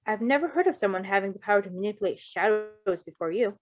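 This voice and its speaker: A woman voicing a calm, curious adult male superhero with composed, precise and formal phrasing in an amateur fan fiction reading. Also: mid-range voice, fast talker, dull audio, close to an everyday voice.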